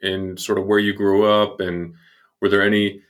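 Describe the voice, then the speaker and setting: Deep-voiced male interviewer, attentive, leads the guest with questions. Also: little pitch movement, moderate pace. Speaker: interviewer, host. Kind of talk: interview conversation.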